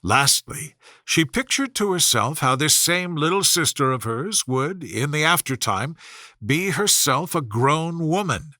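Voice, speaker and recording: Lively, bright-voiced man narrating a story. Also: fairly high pitch, a little slow, dry recording, studio quality. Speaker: audiobook narrator. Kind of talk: expressive scripted story narration.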